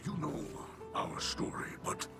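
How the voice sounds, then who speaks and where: A man voicing a gentle, honourable alien warrior in a video game, with a gravelly, breathy growl and formal, halting, courteous speech. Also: lightly acted. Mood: earnest, warm. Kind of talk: game voice acting. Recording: loud music bed behind the voice.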